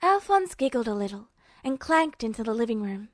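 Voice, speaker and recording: A woman's voice: a little high, big pitch swings, brisk, no echo, clean studio sound. A woman narrating a story from a script as an audiobook-style reader. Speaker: podfic narrator.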